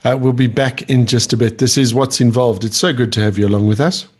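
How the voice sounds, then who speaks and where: A man hosting a podcast interview, asking his guest questions in a conversational, relaxed way with fillers. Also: medium pitch, brisk, slightly rough. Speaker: podcast host.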